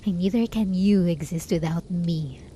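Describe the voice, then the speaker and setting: A woman playing a lovesick, possessive, desperate hermit spellcaster in a soft, intimate ASMR roleplay, often slipping into whispers. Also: lowish voice, normal speed, slight background noise, natural voice, poor audio. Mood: obsessive, threatening. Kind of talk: ASMR roleplay, scripted dramatic monologue.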